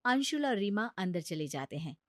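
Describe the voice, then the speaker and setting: A woman's voice: mid-range voice, very animated, moderate pace, no echo, studio quality. A woman narrating a scripted cartoon story as its storyteller. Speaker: narrator and voice performer.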